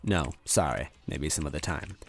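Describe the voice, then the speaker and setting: A man's voice: very deep voice, moderate pace, fairly bright tone, soft background music, voice pushed down. A man voicing a kind, anxious story hero looking for his brother, hesitant and earnest. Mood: apologetic.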